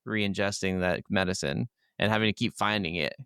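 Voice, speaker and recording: A man's voice: low voice, fairly quick, sharp tone, smooth, no echo, clean studio sound. A man co-hosting a gaming podcast, talking casually with emphatic, opinionated, profane delivery. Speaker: podcast co-host.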